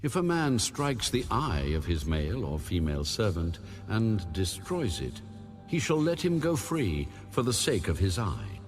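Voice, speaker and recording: A man narrating scripture formally as an audiobook reader, with solemn, measured phrasing. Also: lowish voice, very animated, soft background music.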